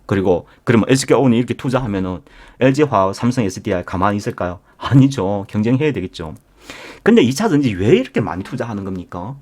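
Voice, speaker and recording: Male investment instructor, lowish voice, emphatic live lecture delivery with rhetorical questions to the audience. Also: a little mellow. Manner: addresses listeners directly and repeatedly. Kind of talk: live market lecture with membership pitch.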